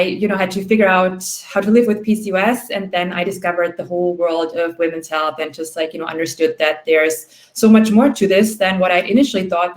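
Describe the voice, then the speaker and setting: Chatty woman from Austria, roomy sound. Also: on the low side. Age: 30s. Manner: chatty, frequent "you know" and "like". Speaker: startup founder on a panel. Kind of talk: panel talk, personal story. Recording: roomy echo.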